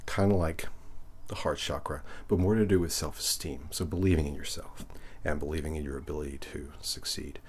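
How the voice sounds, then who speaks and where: A man with an extremely low voice murmurs softly and closely, as in an ASMR session. His delivery is gentle, unhurried and breathy, often dropping into a whisper, with a little ambient noise behind him. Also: fairly even intonation. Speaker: ASMR reiki session host. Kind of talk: ASMR guided relaxation, speaking softly to the listener.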